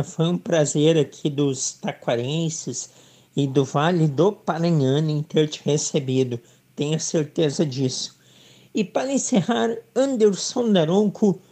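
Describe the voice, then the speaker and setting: Upbeat radio sports host, man, southern Brazilian accent, high voice. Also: a little slow, dark tone. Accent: southern Brazilian Portuguese. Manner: upbeat announcer, formal introductions.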